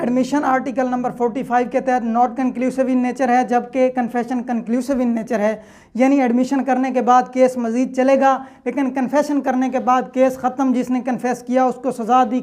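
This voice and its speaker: High-voiced woman lecturing on law. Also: darkish tone. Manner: methodical, often checks in with "theek hai ji". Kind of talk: lecture explaining law articles.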